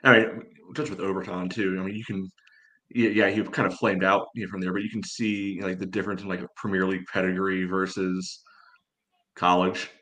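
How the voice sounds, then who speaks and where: A man with a low-pitched voice speaks at a very quick clip. He breaks down the game casually as a podcast panelist, matter-of-fact and ready to disagree. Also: flat delivery, sharp tone. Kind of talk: casual sports analysis.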